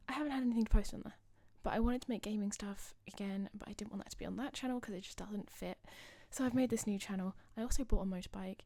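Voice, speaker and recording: Chatty woman, slightly husky. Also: medium pitch, fast, hardly any pauses, warm tone, dry recording. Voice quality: slightly husky, losing her voice. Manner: rambling, chatty, self-deprecating. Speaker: gaming channel host. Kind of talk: casual voice-over commentary.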